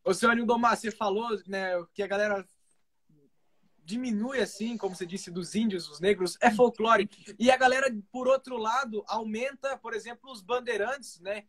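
A male radio host with a fairly high voice leads a live interview over a video stream. He politely affirms what his guest says and then explains his own points at length. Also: moderate pace, fairly bright tone.

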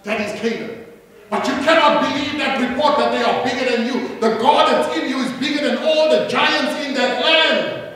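A middle-aged South African man preaching a sermon from a church stage, rising to loud calls and prompting the congregation to answer. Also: extremely high pitch, normal speed. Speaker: pastor. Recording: echoey hall from far off.

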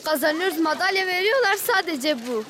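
A young woman skier frustrated that nobody backs her team, speaking haltingly in an interview outdoors in freezing cold. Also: very high-pitched, expressive. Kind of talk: street interview. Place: outdoors at a ski race in deep cold. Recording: outdoor crowd chatter behind.

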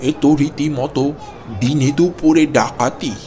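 A man's voice: on the high side, measured pace, mellow, faint music behind, some chatter behind, close to an everyday voice. An older man's voice, a man voicing a blunt, grumpy customer in a cartoon. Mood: scoffing. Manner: blunt, scoffing. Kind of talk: cartoon voice acting.

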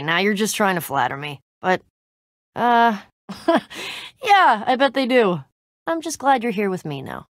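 A woman's voice: mid-range voice, dry recording, clean studio sound. A young woman playing a brash, teasing but loyal warrior in a video game, with cocky, sarcastic, slangy banter. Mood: awkward, sincere. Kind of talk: animated video game character acting.

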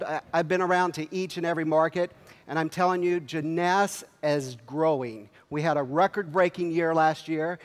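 Middle-aged male keynote speaker, higher-pitched voice, punchy questions to the crowd, big hall echo. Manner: energetic, quizzes the audience with quick questions. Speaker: company executive speaking at a convention. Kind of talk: motivational stage speech to a crowd. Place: large convention hall stage. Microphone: headset mic. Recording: long hall reverb.

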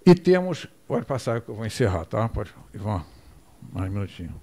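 A man's voice: on the low side, very animated, normal speed, darkish tone. Older man lecturing from a podium. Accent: Brazilian Portuguese. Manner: digressive, asks for the next slide. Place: hall with projector screen, indoors. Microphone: podium microphone.

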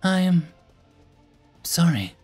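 Man putting on a high, dainty motherly creature. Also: wildly swooping pitch, normal speed, husky, dry recording, soft background music, heavily acted. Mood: guilty, weeping. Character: dainty, naive, grateful motherly female fantasy creature.

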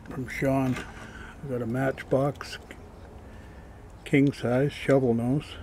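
Man, low-key hobbyist chat, slow pace, matter-of-fact, noticeable background noise, camera mic. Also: a little mellow. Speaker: toy collector showing his finds. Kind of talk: casual show-and-tell chat.

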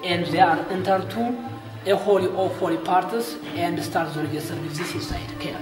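An Ethiopian man, a church guide, explaining paintings in halting broken English on a visitor's camcorder. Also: high-pitched, fairly even intonation. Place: inside a small painted church. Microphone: visitor's handheld camcorder. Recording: echoey church room with loud music behind.

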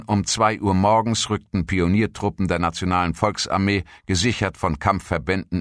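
A man reading scripted historical narration as a documentary narrator, in a measured, formal manner. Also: low voice, a bit bright, no room sound, clean studio sound. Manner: measured, formal read-out delivery.

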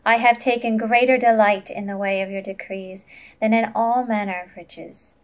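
A woman's voice: fairly high pitch, fairly even intonation, normal speed, poor audio. A woman in her twenties reading scripture aloud as a lay reader, carefully and plainly.

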